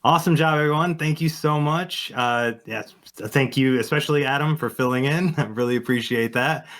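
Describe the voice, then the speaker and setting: Medium-pitched man, casual table read organizer. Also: moderate pace, fairly bright tone. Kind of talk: casual chat.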